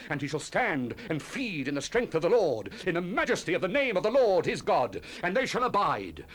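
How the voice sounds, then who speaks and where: A man's voice: on the high side, fairly bright tone. A man giving a solemn, declamatory dramatized scripture reading as a Bible narrator, in the manner of a 1970s audio Bible. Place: studio recording. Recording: noisy 1970s cassette tape transfer.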